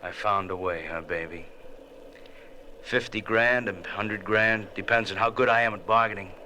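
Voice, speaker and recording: A man's voice: fairly low pitch, fairly even intonation, normal speed, sharp tone, slight background noise. An actor playing a cocky, menacing smuggler with a gun, taunting in tough-guy slang in an old radio adventure. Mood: mocking.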